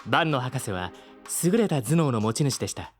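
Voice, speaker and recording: A man playing a polite, caring artificial intelligence with formal, measured speech in a Japanese audio drama. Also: medium pitch, very animated, soft background music. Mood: courteous, calm.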